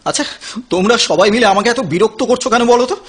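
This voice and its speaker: An actor playing a despairing, irritable young drug addict in an audio drama, agitated and weary in manner. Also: male voice, very high voice, fast talker, fairly bright tone, husky, full character voice. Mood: irritated, agitated. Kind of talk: Bengali audio drama acting.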